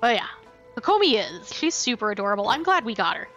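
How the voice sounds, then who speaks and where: High-voiced woman streaming a video game. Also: very animated, fast talker, a bit bright, muffled recording, soft background music. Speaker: game livestreamer. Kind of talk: casual livestream chat while playing.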